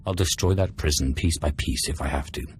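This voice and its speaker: Game Sith, low pitch, formal, controlled, commanding, quietly menacing. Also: male voice, fairly quick, background noise. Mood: curious, resolute. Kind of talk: game cutscene acting.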